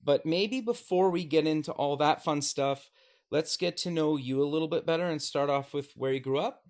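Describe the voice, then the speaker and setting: A man's voice: a little high, moderate pace, darkish tone. A male podcast host who reads a sponsor spot and interviews a guest, reacting with short upbeat remarks. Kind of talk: interview hosting and ad read.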